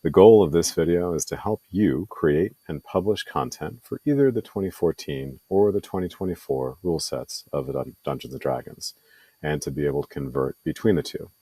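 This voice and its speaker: Man with an extremely low voice, middle-aged, earnest tutorial talk, dead dry room. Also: normal speed, mellow, slightly rough, dry recording. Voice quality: radio voice. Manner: self-deprecating, earnest, frequent ums. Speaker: indie game publisher and video presenter. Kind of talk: tutorial presentation. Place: home laundry room.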